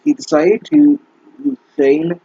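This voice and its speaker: Sarcastic husky young man ranting. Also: on the high side, fairly even intonation, takes it slowly, dark tone, no room sound. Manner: sarcastic, exasperated, swears often. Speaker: fanfic reader and commentator. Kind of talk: mocking commentary on a bad story. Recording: dull lo-fi dry mic.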